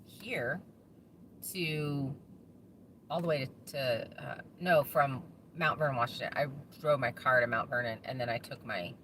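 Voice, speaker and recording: Chatty farm lady, low voice but bright and punchy, laughs a lot, faint hiss behind. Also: measured pace. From United States. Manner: chatty, laughs often, rambling with restarts. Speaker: farm owner hosting a live chat. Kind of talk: casual livestream chat reading viewer comments.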